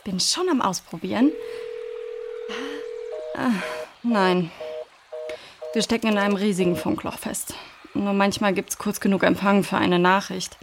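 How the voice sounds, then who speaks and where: A woman's voice: medium pitch, unhurried, pauses often, over music, lightly acted. A woman playing a practical, level-headed leader of young adventurers in a children's audio drama. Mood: focused.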